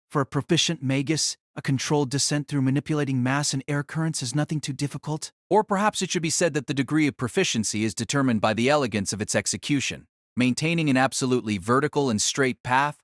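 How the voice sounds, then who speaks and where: A man's voice: medium pitch, no room sound. Dramatic male narrator, dry close sound. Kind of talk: dramatic scripted narration of a fantasy novel.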